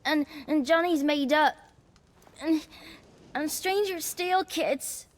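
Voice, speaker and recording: A woman's voice: high voice, slow, no echo. A woman playing a matter-of-fact, impudent peasant orphan child in a video game, with blunt rustic speech and music behind. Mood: insistent. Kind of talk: video game character acting.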